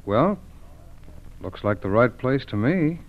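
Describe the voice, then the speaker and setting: An actor playing a calm, shrewd male FBI agent in a 1940s radio crime drama, measured and courteous. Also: mid-range voice. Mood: polite, guarded. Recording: thin, narrow-band vintage radio broadcast.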